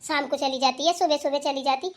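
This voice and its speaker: A woman's voice: high voice, fairly even intonation, fairly quick, natural voice. A woman voicing a blunt, scolding old village grandmother in a family cartoon, brusque and grumbling but fond underneath.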